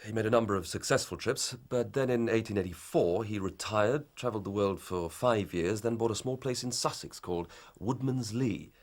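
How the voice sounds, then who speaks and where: An actor playing an earnest, ambitious young police inspector, formal and methodical. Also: male voice, on the low side, moderate pace, few pauses. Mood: reporting. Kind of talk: radio drama acting.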